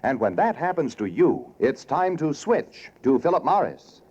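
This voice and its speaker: A man's voice: on the high side, very animated, measured pace, a little mellow, breathy edge. An American man announcing 1940s radio advertising with emphatic, scripted sales delivery. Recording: dull 1940s radio recording.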